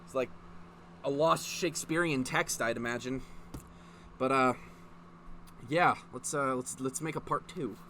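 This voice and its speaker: A man's voice: mid-range voice, measured pace, long pauses, pushed and bright, slight background noise. A man doing a casual comedic reading, profane and mock-exasperated, prone to breaking into laughter as he reacts. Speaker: host of a comedic fan fiction reading.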